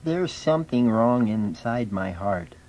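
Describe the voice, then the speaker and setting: Man voicing a low, mellow, glum puppet. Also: animated, unhurried, dull audio. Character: glum male wooden puppet, sad, remorseful. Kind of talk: children's story drama.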